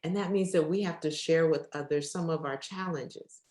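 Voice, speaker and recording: A woman's voice: deep voice, normal speed, darkish tone. A woman hosting an upbeat faith talk show, speaking effusively and addressing her audience directly. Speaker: interview show host. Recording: roomy, echoey sound.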